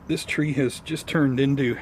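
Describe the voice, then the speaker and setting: A man's voice: medium pitch, fairly even intonation, moderate pace, darkish tone, poor audio. Casual man vlogging outdoors with background noise. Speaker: host of a bonsai gardening vlog. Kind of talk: casual vlog chat to viewers. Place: outdoors in a garden or yard.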